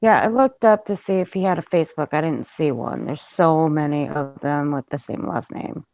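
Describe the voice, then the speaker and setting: A woman's voice: sits low, moderate pace, a little mellow, no room sound. A woman chatting casually as a panelist on a true-crime livestream, over dull online call audio. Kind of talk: casual group livestream chat.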